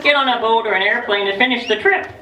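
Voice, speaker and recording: Woman playing a high-voiced playful penguin storyteller. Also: lightly acted. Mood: teasing. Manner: teasing, asks questions, draws out dramatic pauses. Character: playful, hungry female penguin storyteller, fond of jokes. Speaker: storyteller for children. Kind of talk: interactive picture book reading to children. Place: echoey room with a group of children. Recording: roomy, distant, noisy live room recording.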